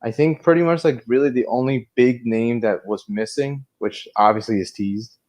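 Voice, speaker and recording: A man's voice: mid-range voice, normal speed, a little mellow. A man speaking as a panelist in a casual, conversational debate on a review show.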